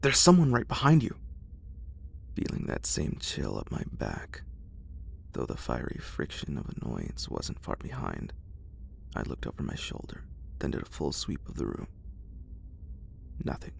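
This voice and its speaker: A man narrating a horror story in a breathy, whispered ASMR style with dramatic delivery. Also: very deep voice, expressive, measured pace, long pauses, slight background noise.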